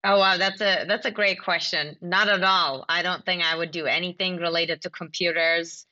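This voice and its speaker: Low, bright-voiced woman from Turkey. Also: fairly even intonation, moderate pace, muffled recording. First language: Turkish. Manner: thoughtful, with frequent fillers and restarts. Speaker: podcast guest. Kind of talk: conversational interview answers.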